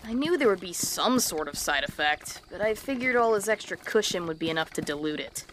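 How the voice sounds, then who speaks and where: A woman's voice: fairly low pitch, lively intonation, brisk, slight background noise, lightly acted. A woman voicing a small, earnest, easily offended plant creature in a fantasy comedy audio drama. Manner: defensive, earnest.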